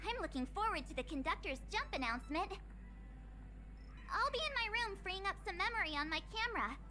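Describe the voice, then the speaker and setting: A woman's voice: very high-pitched, expressive, fairly quick. A woman voicing a cheerful young traveler who loves taking photos, chatty and bubbly. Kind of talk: video game voice acting. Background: game music.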